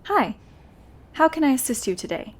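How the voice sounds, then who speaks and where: Actress doing polite front desk lady, chatty, kinda high voice, audio drama. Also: lively intonation, normal speed. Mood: polite, curious. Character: polite, chatty female office receptionist. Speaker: receptionist.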